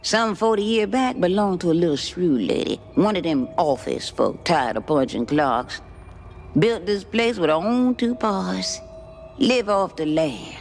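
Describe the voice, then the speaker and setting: Creaky, drawling old Cajun swamp witch. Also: on the low side, full character voice. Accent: Louisiana Cajun. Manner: drawling, teasing, theatrical folksy talk. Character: sly, folksy old female swamp witch, ancient and cryptic. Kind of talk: video game cutscene acting.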